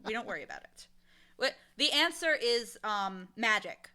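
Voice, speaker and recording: A woman speaking casually as an interview guest, animated and emphatic, with a playfully blunt way of talking. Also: fairly high pitch, normal speed. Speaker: interview guest, audio drama creator and casting director.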